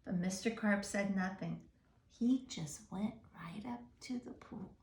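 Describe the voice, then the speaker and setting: A woman's voice: mid-range voice, unhurried, darkish tone, echoey room. A woman reading a picture book aloud to children, expressively, with questions and asides to her young listeners.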